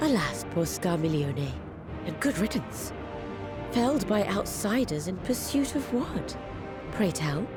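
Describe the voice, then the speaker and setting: A woman's voice: fairly low pitch, sing-song, unhurried, over loud music. A woman playing a haughty, predatory female fiend in a video game, speaking with imperious, theatrical disdain. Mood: disdainful. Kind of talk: scripted video game voice acting.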